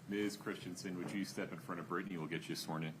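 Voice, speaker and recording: A man's voice: sits low, fairly even intonation, fast talker. A man presiding as judge, giving brief, formal procedural directions in a courtroom, heard on a distant, noisy microphone.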